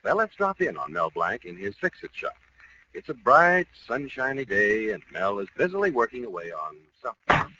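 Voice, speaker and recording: A man's voice: medium pitch, very animated, moderate pace. A man announcing a scripted radio show in a polished 1940s style. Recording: noisy, muffled old radio broadcast.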